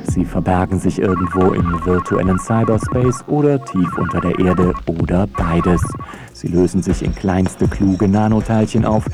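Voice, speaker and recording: A man reading a scripted radio feature as its narrator, with a wry, rhetorical way of putting things. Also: low-pitched. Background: music.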